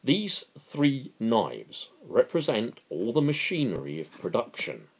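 A man voicing a working man who patiently lectures as a pretend capitalist in a novel, emphatic and explanatory, with a gravelly voice. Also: medium pitch, huge pitch swings, takes it slowly, voice pushed up, full character voice, rough recording. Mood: lecturing.

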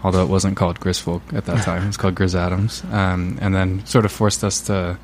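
A man from a band telling how the group formed, relaxed and full of fillers. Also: sits low, a little fast, slight background noise. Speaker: band member. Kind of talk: casual interview answers.

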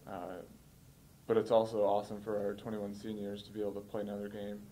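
A man in his early twenties, a college football player giving measured, matter-of-fact answers at a post-game press conference. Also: on the low side, completely flat delivery, mellow, a little hiss or hum. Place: indoor press room, seated at a table.

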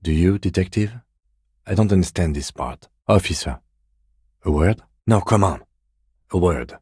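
A middle-aged police detective in a video game, with an extremely low male voice. He is composed and precise, choosing his words with restraint. The sound is dry and close. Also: lively intonation, measured pace, mellow, slightly rough, no echo, full character voice. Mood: probing, serious. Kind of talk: video game voice acting, understated dialogue.

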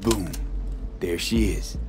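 An actor in a video game playing a street-smart male mercenary, a terse, wry and steady partner. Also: low-pitched, takes it slowly, music in the background. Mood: satisfied. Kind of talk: video game cutscene acting.

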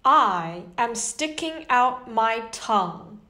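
Bright, high-pitched young woman reading slowly. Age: young adult. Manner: animated, carefully enunciated. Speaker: host and children's story reader. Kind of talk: children's picture book read-aloud. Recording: echoey indoor room.

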